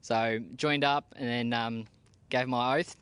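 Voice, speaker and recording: Australian soldier, plain-spoken and casual. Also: male voice, medium pitch, steady tone, slow pace, fairly bright tone, smooth, no echo. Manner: plain-spoken, casual slang with frequent fillers. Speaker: army team leader. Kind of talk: interview answers.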